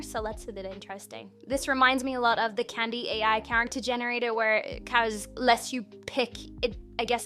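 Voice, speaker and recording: A woman's voice: on the high side, bright, forceful tone. A woman reviewing a website in a casual screen-recorded walkthrough, chatty and thinking aloud as she clicks through menus.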